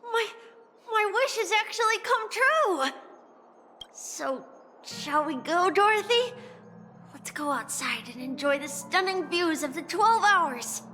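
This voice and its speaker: Very high, gushing lovestruck youngster. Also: female voice, sing-song, takes it slowly, bright, forceful tone, exaggerated voice. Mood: overjoyed. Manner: breathlessly delighted, exclaiming. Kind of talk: game cutscene dialogue. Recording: long hall-like reverb, faint music.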